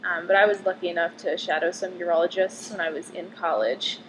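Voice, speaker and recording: A woman's voice: lowish voice, steady tone, a little fast, noisy background. A woman in her twenties from Connecticut, a medical student answering interview questions casually, with lots of "um" and "like". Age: 20s. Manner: chatty, frequent "um" and "like" fillers.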